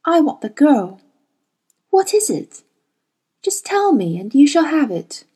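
A woman voicing a manipulative, seductive woman who coaxes secrets in a soft, purring way. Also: high-pitched, very animated, slow, pitched above the performer's own voice, full character voice. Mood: coaxing.

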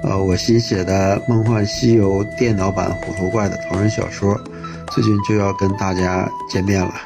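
A man's voice: low voice, level tone, moderate pace, creaky. A man giving an informal promotional greeting as a novel author. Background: music.

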